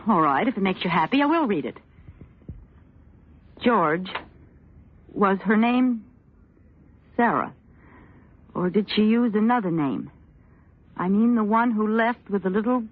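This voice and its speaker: Husky, dramatic scheming radio wife. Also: female voice, mid-range voice, expressive, measured pace, pauses often. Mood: alarmed. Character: scheming, guarded wife, cool and defiant under threat. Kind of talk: 1940s radio drama acting. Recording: thin, phone-like old radio recording.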